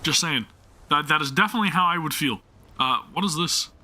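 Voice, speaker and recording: A young man talking casually over his gameplay on a live stream, with a very bright, sharp-edged voice pitched a bit high. He rambles, jokes at his own expense and stumbles over restarts. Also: lively intonation, a little fast, slight background noise. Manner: chatty, self-deprecating, stammering restarts. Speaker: game streamer.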